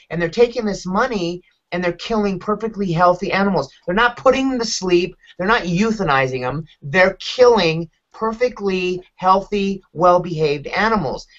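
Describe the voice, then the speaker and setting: A man's voice: sits high, fairly bright tone. A man in his thirties giving emphatic, blunt podcast commentary over a video call from a room at home. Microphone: video call on computer. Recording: dull, lo-fi video call audio.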